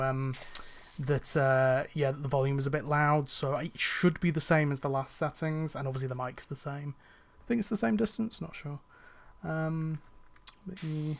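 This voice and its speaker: Gravelly-voiced chatty streamer. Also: male voice, medium pitch, a little hiss or hum. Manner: rambling and chatty, with many fillers and restarts, laughs at himself. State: tired. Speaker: gaming streamer. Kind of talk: casual chat while playing a game on a live stream.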